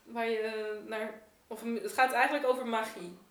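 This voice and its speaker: A woman's voice: on the high side, fairly even intonation, moderate pace, a bit bright. A woman asking questions in casual conversation, tentatively feeling for the right words. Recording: echoey, distant from the microphone.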